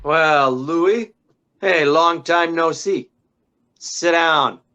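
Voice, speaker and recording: On a slightly muffled recording, a determined old-time radio gumshoe, played by a man, talks in brusque slang. He is wisecracking and commanding, with a fairly high voice. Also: extremely slow, bright, forceful tone, slight background noise, full character voice. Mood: tough, pressing. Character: tough, determined, wisecracking male private detective. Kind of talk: 1940s radio acting. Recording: dull, band-limited sound.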